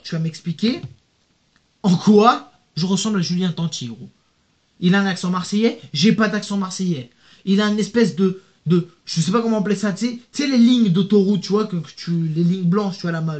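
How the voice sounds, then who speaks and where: Young male YouTuber, higher-pitched, slangy casual rant to camera, full of fillers and restarts. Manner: animated, slangy, addresses viewer with "tu vois" and "gros"; reads out hostile comments, many fillers and restarts.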